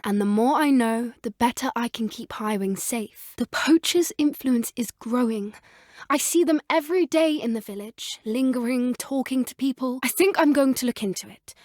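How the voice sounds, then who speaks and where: A woman with a British accent voicing an earnest, gentle, soft-spoken teenage schoolgirl witch who loves magical creatures, for a video game. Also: a little high, no echo, clean studio sound, close to an everyday voice. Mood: warm, curious.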